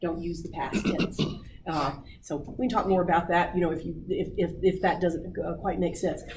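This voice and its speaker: A woman leading a writing workshop, coaching people on their book pitches with many fillers. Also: fairly even intonation, moderate pace, heavy background noise. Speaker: workshop presenter. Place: meeting room. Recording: roomy distant audience recording.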